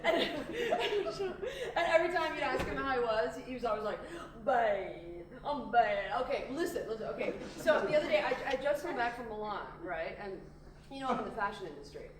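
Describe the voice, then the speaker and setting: A woman performing a brash, gushing fashion-industry woman on stage, loud and laughing. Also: on the high side, sing-song, normal speed, a crowd in the background. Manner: loud, gushy, laughing, self-absorbed. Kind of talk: live stage character comedy. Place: theater stage. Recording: echoey theater from far off, noisy.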